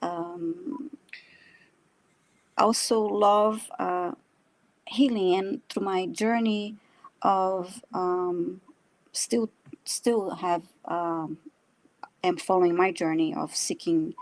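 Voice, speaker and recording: A woman from Brazil, a native Portuguese speaker with a Brazilian accent, chatting casually about herself in a halting, self-deprecating way. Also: on the low side, steady tone, dry recording, low-quality recording. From São Paulo, Brazil. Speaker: guest, animal communicator and energy healer. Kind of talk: casual personal storytelling in a live chat.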